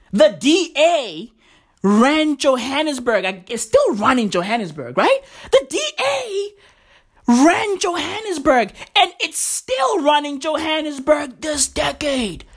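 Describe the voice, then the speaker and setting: A woman's voice: high-pitched, exaggerated voice. A woman playing a cocky young man nearing thirty in a comic monologue. He swears a lot and keeps saying "bruv" and "legit". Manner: brash, swears often, repeats slang like bruv and legit.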